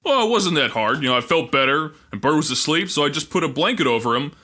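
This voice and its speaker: A man's voice: on the high side, sing-song, quick pace, bright, forceful tone, big-room sound. A man playing a goofy, boisterous lumberjack of thirty who rambles in a comic audio drama. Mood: cheerful, boisterous. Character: goofy cheerful big lumberjack man aged thirty, easily scared.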